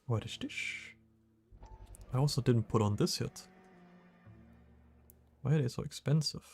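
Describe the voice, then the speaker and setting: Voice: medium pitch, measured pace, gravelly, darkish tone, faint music behind. A game streamer commentating casually while playing, jokey and thinking aloud.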